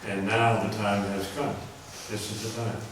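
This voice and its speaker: A soft-spoken man giving a rambling religious talk to an audience, often clearing his throat. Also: lowish voice, little pitch movement, normal speed, noisy background. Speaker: religious speaker. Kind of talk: informal lecture to an audience. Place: meeting hall before a live audience. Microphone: microphone through a speaker system. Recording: echoey hall, heard from far off.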